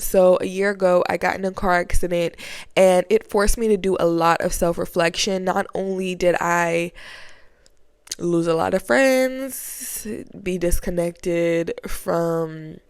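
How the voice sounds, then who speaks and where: A woman's voice: fairly low pitch, vocal fry, smooth, no room sound, studio quality. A woman talking casually to camera in a sit-down vlog, chatty and rambling, a bit sniffly from a cold. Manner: chatty, rambling, frequent fillers and slang. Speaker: vlogger. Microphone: camera mic, sit-down setup.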